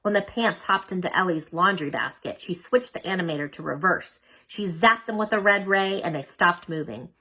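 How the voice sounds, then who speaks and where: A woman reading a children's storybook aloud as an expressive narrator. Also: lowish voice, moderate pace, few pauses, rough recording. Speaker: storybook reader.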